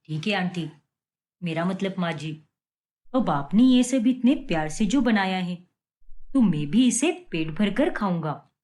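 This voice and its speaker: Woman doing a young husband, lowered tone, patient, polite, earnest, cartoon storybook drama. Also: animated, a little slow, a little mellow, natural voice.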